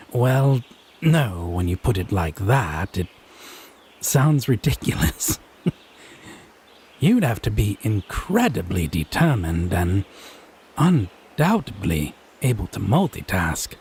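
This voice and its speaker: Smug, tipsy male fae lover played by a man, very slow sardonic drawl with swooping pitch, for a teasing audio roleplay. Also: big pitch swings, a little mellow, slight background noise, exaggerated voice. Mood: teasing, amused. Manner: drawling, mocking, sardonic, pet names. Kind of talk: slow theatrical audio roleplay monologue addressed to listener.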